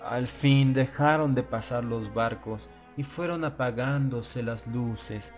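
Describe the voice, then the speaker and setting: A man narrating a gothic tale as an audiobook, in a solemn, measured, literary delivery with phrases that fall away at the end. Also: medium pitch. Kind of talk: gothic horror story narration in Spanish, first person. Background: background music.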